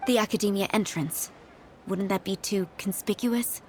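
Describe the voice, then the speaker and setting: An actress voicing a mysterious, composed woman prophet in a video game, speaking in a measured, authoritative manner. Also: medium pitch, darkish tone, slight background noise, close to an everyday voice. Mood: composed, probing.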